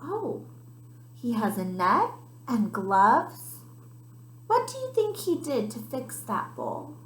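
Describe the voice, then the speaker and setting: A woman's voice: on the high side, wildly swooping pitch, takes it slowly, fairly bright tone. A woman teacher reading a picture book aloud expressively to children, stopping to point out details to her young listeners. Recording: roomy, echoey sound.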